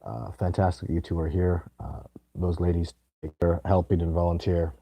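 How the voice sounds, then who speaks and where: Man, hoarse raspy voice, very deep, rambling emphatic live chat. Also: flat delivery, normal speed, dry recording, highs cut off. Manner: rambling, emphatic, many false starts. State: hoarse, sore throat. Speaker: livestream host answering viewer questions.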